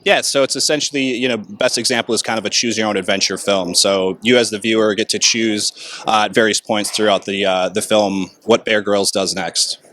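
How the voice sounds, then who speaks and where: A man answering red carpet questions in a relaxed way, with lots of fillers. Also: lowish voice, a little fast, slight background noise.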